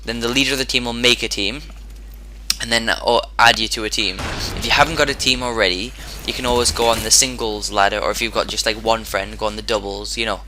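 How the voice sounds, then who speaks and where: A man with a hoarse, sore-throated voice giving casual commentary over his own gameplay, rambling and often restarting his sentences. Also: fairly low pitch, steady tone, brisk, bright, forceful tone, a little hiss or hum. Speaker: gaming commentator.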